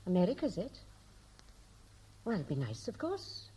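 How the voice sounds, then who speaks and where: An actress playing a fond, brisk, scolding middle-aged Welsh mother in a 1960s radio drama, with a lilting, homely way of talking. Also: fairly low pitch, wildly swooping pitch, dark tone, slight background noise.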